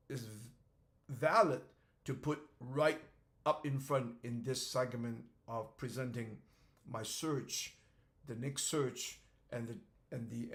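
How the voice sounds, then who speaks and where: A man in his seventies giving a reflective lecture, speaking in short halting phrases and often repeating words as he searches for them. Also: mid-range voice, vocal fry. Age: 70s. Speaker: author presenting his theory.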